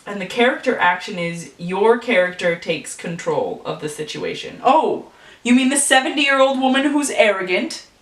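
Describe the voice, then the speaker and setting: A woman's voice: medium pitch, animated, moderate pace. A woman casually making up and telling a story, chatty and thinking aloud. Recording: roomy echo from a distance, faint music.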